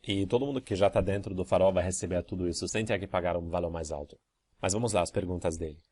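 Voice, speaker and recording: A man, a language course teacher, reading out student emails and answering them one by one in a calm, methodical way. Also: sits low, fast talker.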